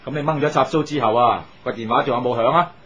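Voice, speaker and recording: A man's voice: medium pitch, quick pace, a bit bright, husky. An actor playing a curious, practical male workmate giving advice in a 1980s Hong Kong Cantonese radio drama. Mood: practical, advising. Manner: inquisitive, advising. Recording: slightly dull, noisy old radio recording.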